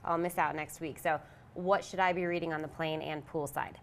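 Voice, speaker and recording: A woman hosting a casual, chatty interview, responsive and quick to affirm what she hears. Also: sits low, steady tone, a little hiss or hum. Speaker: interviewer, show host.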